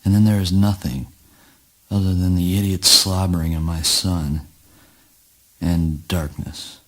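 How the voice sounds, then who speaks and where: An actor playing a weary, morbid, dismissive father with deadpan theatrical delivery in a spoken word play. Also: deep voice, unhurried, rough recording. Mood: bleak.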